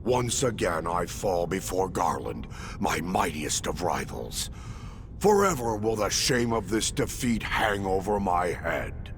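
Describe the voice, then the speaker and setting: Gravelly, bombastic wandering warrior. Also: male voice, very animated, unhurried, slight background noise, exaggerated voice. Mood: wounded pride. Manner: grandiose, florid, swinging from hushed to booming. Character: bombastic theatrical male warrior wandering between dimensions, boastful and melodramatic. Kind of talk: theatrical video game cutscene acting.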